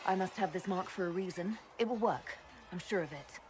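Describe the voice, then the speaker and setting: A woman playing a composed, curious young mage hero in a video game, with a polite, inquisitive, measured delivery. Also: lowish voice, a little mellow, lightly acted. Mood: determined. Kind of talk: video game cutscene dialogue. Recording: clearly noisy game audio with ambience.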